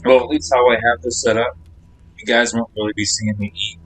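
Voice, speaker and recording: A man streams a video game with a casual, rambling delivery. His voice sits at a medium pitch as he mutters and chats to himself over a meal. Music from the game plays in the background. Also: little pitch movement, a little fast, low-quality recording. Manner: rambling, talking to himself while eating. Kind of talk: casual live stream chat.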